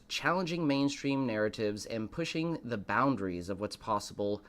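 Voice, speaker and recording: Slightly hoarse man hyping a live stream. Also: medium pitch. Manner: showy, dramatic build-ups addressed to viewers. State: a little hoarse. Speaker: host of a live stream show. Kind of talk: hyped live-stream monologue, greeting chat.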